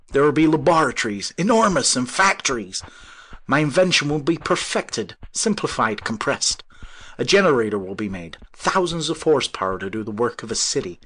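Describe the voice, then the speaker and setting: A man's voice: mid-range voice, fairly bright tone, slightly rough. A man voicing a young idealistic inventor in a storybook reading, impassioned and breathless with emotion. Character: young idealistic male inventor, exultant and fervent.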